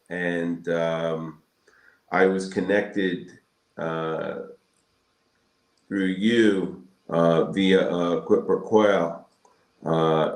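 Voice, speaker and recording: A man in his sixties from Brooklyn, answering interview questions in a measured, halting, reflective way with pauses between phrases. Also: deep voice. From Brooklyn, New York, United States. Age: 60s. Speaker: guest, periodontal surgeon. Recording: roomy echoing sound.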